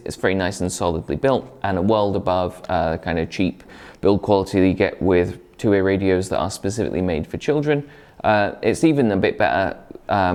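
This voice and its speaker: A man's voice: sits low, a little mellow. A man presenting a product review in a conversational, explanatory way, partly scripted.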